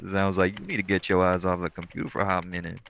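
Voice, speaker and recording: Man playing a low, gravelly, sweet boyfriend. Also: level tone, quick pace, loud music behind the voice. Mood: gently firm. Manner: laid-back, coaxing, slangy. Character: sweet, caring, teasing lightning elemental boyfriend. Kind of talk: intimate boyfriend audio roleplay, casual speech.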